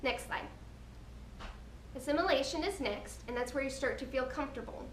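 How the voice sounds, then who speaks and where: A woman giving a scripted slide presentation, explaining each point in a deliberate, earnest way. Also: sits high. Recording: roomy, distant mic with background noise.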